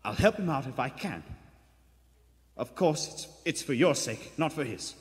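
A man's voice: medium pitch, expressive, moderate pace, natural voice. A man playing an earnest, polite police detective in a live radio play, a little hesitant in his delivery. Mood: sympathetic, earnest. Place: indoor theatre stage before live audience. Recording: echoey theatre hall.

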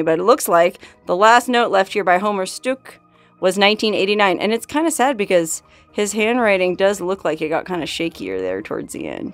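A woman's voice: on the low side, dry recording. A woman in her forties, filming herself on a phone while exploring an old mine and a desert canyon, talking casually with awed exclamations and laughs. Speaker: solo explorer vlogger. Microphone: handheld phone at arm's length.

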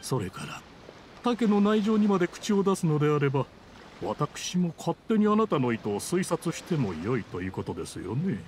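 A haughty schemer of an official in an anime game. He speaks formally but bristles easily, his slightly high voice swinging widely in pitch. There is light scene ambience behind him. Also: big pitch swings, normal speed, darkish tone. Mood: defiant, smug. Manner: indignant, formal, pompous. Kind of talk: Japanese anime game voice acting. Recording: game dialogue over ambient scene noise.

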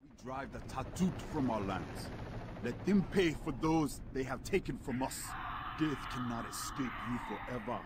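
A man's voice: medium pitch, animated, normal speed, close to an everyday voice. A man playing a hostile, vengeful alien warrior leader in a video game, commanding and scornful in formal phrases. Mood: grim command. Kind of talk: video game character acting. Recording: clear noise behind the voice.

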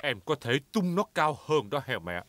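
A man voicing a jolly, boastful father pig in a children's cartoon dub, with playful, drawn-out delivery. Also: mid-range voice, very animated, very noisy, natural voice. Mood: confident, teasing.

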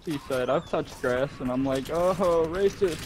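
A man's voice: on the high side, normal speed, noisy background. A man talking casually and in slang with friends while gaming. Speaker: gamer in squad voice chat.

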